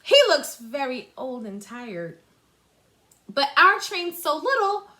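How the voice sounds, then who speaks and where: A woman's voice: high voice, very animated, takes it slowly, pushed and bright, exaggerated voice. A woman voicing a cheerful little male toy clown, eager and upbeat.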